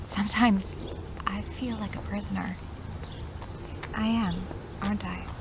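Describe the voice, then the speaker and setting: A woman's voice: a little high, very animated, moderate pace, noisy background. An actress playing a sheltered, wistful young woman who longs for freedom, in an audio drama. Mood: wistful, anxious. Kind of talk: naturalistic audio drama acting.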